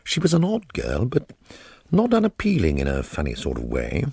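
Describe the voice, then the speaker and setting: An actor playing a diffident, cash-strapped artist, educated, hesitant and wry, in radio drama dialogue and narration. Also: male voice, a bass voice, wildly swooping pitch, normal speed, vocal fry, darkish tone, breathy edge, no echo, full character voice. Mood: reflective, dry.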